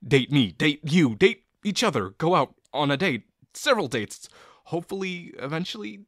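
A man's voice: a little high, expressive, no room sound, clean studio sound. A man playing a shy, sincere young guy in love with his best friend, speaking hesitantly and intimately to the listener in an audio roleplay. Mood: excited, delighted. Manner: hesitant, halting, full of pauses and sighs. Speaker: voice actor.

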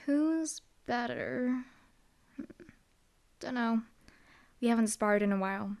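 A woman's voice: a little high, expressive, slow pace, darkish tone. A young woman voicing a dry, teasing female hellhound demon bodyguard in an audio roleplay. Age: young adult. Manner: dry, teasing, laid-back.

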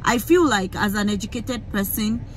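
A young Nigerian woman with a Yoruba-flavoured accent, vlogging into a phone in a car, talking in an animated, emphatic way with many fillers and restarts. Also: medium pitch, moderate pace, slight background noise, poor audio. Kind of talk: casual rant to camera. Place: inside a parked car. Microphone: phone camera held close.

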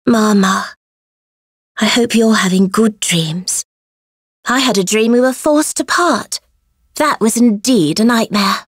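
An actress playing a gentle, dreamy dragon woman in a video game, with a sleepy, delicately dramatic delivery. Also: medium pitch, slow, long pauses, slightly rough, no echo, clean studio sound. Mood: wistful, gentle. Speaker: voice actor. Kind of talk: scripted game character voice clips.

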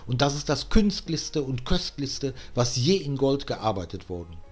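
A faithful, crafty servant from a storybook, read by a man, courteous and persuasive. His pitch is lively and his tone slightly husky. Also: measured pace, faint music behind.

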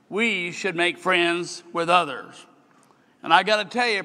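An older man, a bishop, giving an earnest sermon address at a podium, slowing down as he reads scripture. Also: high voice, pushed and bright. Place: large hall at a podium. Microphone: podium microphone. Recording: long hall reverb.

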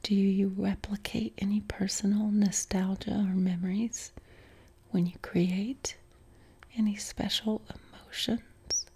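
Middle-aged woman w soft appalachian accent, all breathy whisper, slow and mellow, living room. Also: medium pitch, little pitch movement. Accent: softened Appalachian. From West Virginia. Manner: unvoiced whisper, unhurried with many ums. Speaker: ASMR creator. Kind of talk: whispered Q&A chat. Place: living room, seated on a couch. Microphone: close mic, camera framed on torso.

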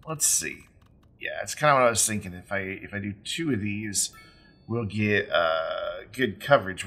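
A low-pitched man, hoarse and raspy from a lingering cold, chats through a city-building game session. His tone is sharp and bright despite the roughness, and he rambles, trailing off with ums and restarting his sentences. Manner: rambling, blunt, with ums and self-corrections. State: sick, rough raspy voice with cough. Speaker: let's play host. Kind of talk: casual gameplay commentary.

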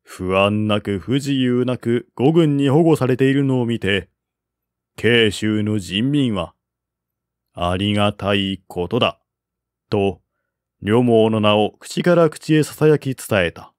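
Slow-paced male audiobook narrator. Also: medium pitch, lively intonation, a little mellow, no echo, clean studio sound. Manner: formal, measured reading. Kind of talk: scripted literary narration of a historical novel.

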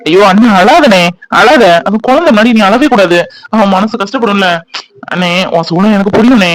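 Guy doing a warm-hearted little brother in a family drama, high voice, talks fast and earnest. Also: lightly acted, low-quality recording. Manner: earnest, reassuring. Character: affectionate younger brother, young man. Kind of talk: web serial drama acting.

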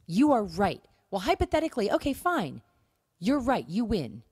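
A woman's voice: mid-range voice, huge pitch swings, normal speed, a little mellow, slightly rough, no room sound, studio quality. A woman co-hosting a podcast, talking casually and earnestly, with emphatic points and rhetorical questions. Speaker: podcast co-host. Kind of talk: casual conversational talk on marriage and faith.

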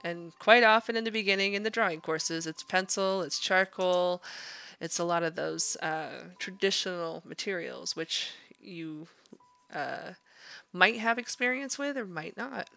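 A woman's voice: on the low side, a little slow, creaky, sharp tone. A woman art instructor narrating a drawing demonstration in an informal, encouraging, conversational way. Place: voice-over to a screen recording.

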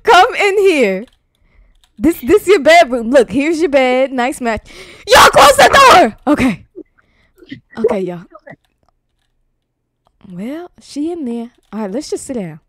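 A woman's voice: high voice, very animated, takes it slowly, long pauses, fairly bright tone, dry recording, heavily acted. A woman playing a flustered granddaughter and household peacemaker in an improvised online roleplay, casual and exasperated. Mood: bossy, hushed.

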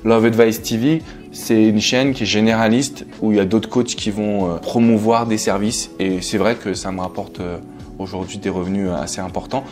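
A confident man in his thirties from France speaks casually on a sofa in a bright room, his voice sitting low. He pauses often with fillers, steady and self-assured. Music plays clearly under the talk. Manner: relaxed, confident, frequent "euh" and "tu vois". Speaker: love coach and entrepreneur, guest. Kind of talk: casual interview chat.

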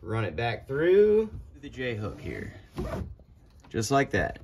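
A man's voice: medium pitch, takes it slowly, slight background noise. Young man giving plain how-to directions outdoors. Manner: relaxed, plainspoken step-by-step directions. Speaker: kayaker demonstrating gear. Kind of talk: casual how-to instruction. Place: outdoors under a carport at night. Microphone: phone camera, moving around the car.

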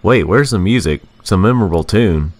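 A man's voice: low-pitched, lively intonation, normal speed, darkish tone, soft background music. A man reading a scripted, rhyming video game review in a sarcastic, sing-song manner.

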